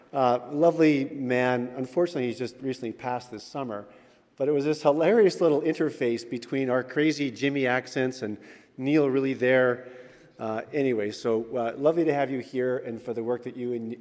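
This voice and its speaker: A man's voice: medium pitch, darkish tone. A man, a church elder, giving an informal sermon with stories in a chatty way with frequent fillers. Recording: reverberant church hall.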